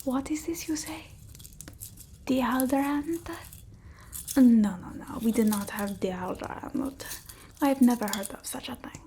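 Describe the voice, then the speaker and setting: A woman playing a bossy, fussy old-world vampire mistress in an ASMR roleplay, whispering slowly and breathily. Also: high-pitched, a little hiss or hum. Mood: haughty. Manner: slow, hushed, haughty, fussing over the listener.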